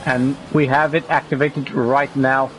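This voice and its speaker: A man with a cold commentating a sim racing league broadcast, rising in pitch when the action heats up. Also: flat delivery, unhurried, fairly bright tone, a little hiss or hum, poor audio. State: has a cold. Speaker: race commentator.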